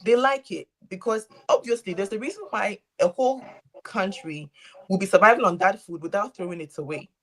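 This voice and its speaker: A woman from Ghana holds forth in a relaxed group conversation. Her voice sits at an everyday middle pitch, and she presses her points home with emphatic, preachy asides. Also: a little fast. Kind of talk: casual group chat.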